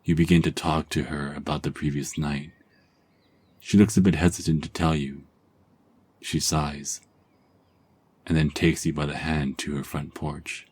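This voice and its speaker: A man narrating a second-person romance story in a calm ASMR style, drifting at times into hushed whispers. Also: very deep voice, a little slow, pauses often, slight background noise.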